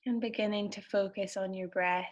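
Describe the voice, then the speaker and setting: A woman leading a guided meditation, speaking in a soothing, gentle manner with unhurried phrases and pauses. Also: medium pitch, fairly even intonation, low-quality recording.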